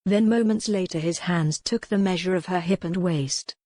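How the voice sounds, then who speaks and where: A woman's voice: fairly low pitch, normal speed, dark tone, no room sound, studio quality. A woman reading a historical novel as scripted, dramatic audiobook narration.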